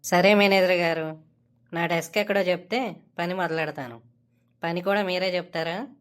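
A woman's voice: fairly low pitch, fairly even intonation, moderate pace, close to an everyday voice. A woman voicing a brash, entitled and scheming young male office worker in a comedy cartoon, talking casually and cockily. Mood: cocky, sarcastic. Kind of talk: Telugu comedy cartoon dialogue.

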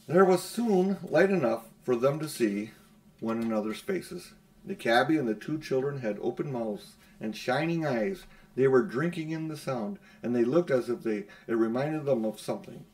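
A middle-aged man reading a children's novel aloud in a measured storytelling way, with dramatic emphasis. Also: mid-range voice, lively intonation, a little mellow. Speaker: audiobook reader. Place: small room at home.

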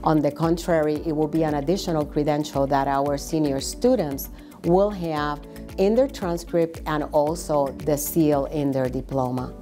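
A middle-aged woman speaks in a measured, explanatory way, like a school supervisor giving a formal interview. Her voice sits low and mellow. She is recorded close on a lapel mic in a classroom. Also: soft background music. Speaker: school language program supervisor. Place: classroom with flags behind.

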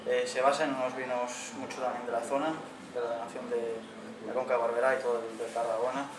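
A man, a head chef answering interview questions in a steady, measured way in a restaurant dining room. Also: medium pitch, crowd noise. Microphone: far camera mic. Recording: echoey room from far off, with background noise.

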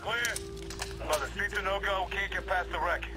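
A man's voice: high voice, normal speed, music in the background, natural voice. A man playing a clipped special forces leader. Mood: tense. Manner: clipped urgent radio calls. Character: terse male special forces squad leader. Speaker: squad leader callsign Voodoo. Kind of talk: video game combat dialogue. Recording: game audio over loud gunfire.

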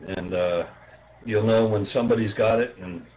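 A man's voice: lowish voice, steady tone, normal speed, darkish tone. A man from Texas chatting casually as a radio hobbyist in an online voice chat. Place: indoors, home, online voice and video chat. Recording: noisy voice chat microphone.

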